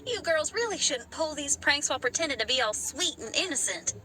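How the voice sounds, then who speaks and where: A young rock musician girl in a game, spirited and warm. The voice is high and very animated, with folksy, expressive phrasing. Music plays clearly behind it. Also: brisk. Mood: startled, exasperated. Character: spirited young female rocker, warm, easily startled. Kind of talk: animated video game voice acting.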